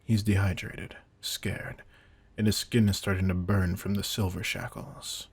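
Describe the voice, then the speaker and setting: A man playing a veteran vampire hunter in an audio drama, a controlled, intense mentor who speaks in a deliberate, commanding, quietly menacing way. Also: deep voice, flat delivery, dry recording, exaggerated voice. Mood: low, ominous. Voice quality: gravelly, husky. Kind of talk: scripted roleplay audio drama.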